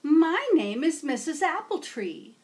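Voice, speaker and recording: A woman's voice: sits high, big pitch swings, unhurried, darkish tone, voice pushed up. Woman reading as a sing-song, kindly old lady teacher. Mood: welcoming. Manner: sing-song, patient, addressing small children.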